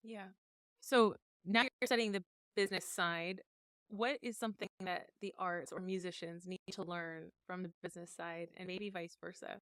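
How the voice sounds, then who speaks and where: A woman's voice: lowish voice, fairly even intonation, moderate pace, fairly bright tone, clean tone, dry recording. A woman hosting a podcast interview, enthusiastic and gushing in a casual way. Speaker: podcast host.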